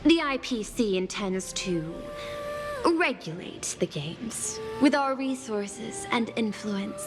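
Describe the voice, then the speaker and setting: A slow, measured corporate envoy woman. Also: mid-range voice, lively intonation. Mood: persuasive, confident. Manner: formal, measured, deliberate. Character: poised, calculating corporate envoy woman, courteous schemer. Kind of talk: scripted video game dialogue. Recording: long reverb, clear music underneath.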